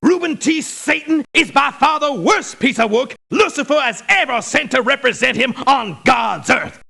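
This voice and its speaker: An actor playing a pompous, pious preacher turned ruthless mercenary in a video game, sermonizing in formal biblical phrases with a gravelly rasp. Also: male voice, very high voice, pushed and bright, full character voice. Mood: indignant, righteous. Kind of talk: theatrical video game voice clips. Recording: clipped lo-fi game voice samples.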